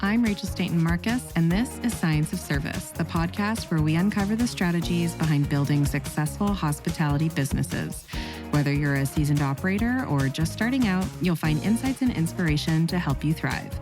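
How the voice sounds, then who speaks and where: Low-voiced woman hosting a podcast. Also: a little fast, over music. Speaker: podcast host. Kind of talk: scripted podcast intro and interviewing.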